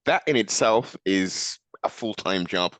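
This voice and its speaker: Guy hosting a sports interview, lowish voice, very dry sound, bit of formal flourish. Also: lively intonation, measured pace, a bit bright, no echo. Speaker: sports show host. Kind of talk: conversational interview.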